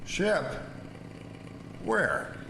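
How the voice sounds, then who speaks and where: Man as a big gruff head keeper, curt, grumbling, taciturn, very varied pitch, old-time radio drama. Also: huge pitch swings, very slow, noisy background, full character voice. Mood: terse, puzzled.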